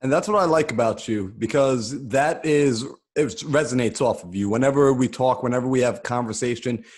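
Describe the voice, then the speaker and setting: A man's voice: mid-range voice, moderate pace, darkish tone. A young man hosting an interview from his home office over a video call, asking long, earnest questions. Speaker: podcast host. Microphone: desk microphone on video call.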